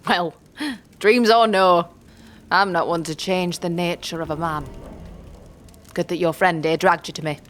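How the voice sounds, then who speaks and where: A woman's voice: on the low side, steady tone, unhurried, long pauses, slight background noise, natural voice. A woman playing a shrewd, dryly kind old healer in a fantasy audio drama, with folksy, teasing, musing speech. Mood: wry, musing.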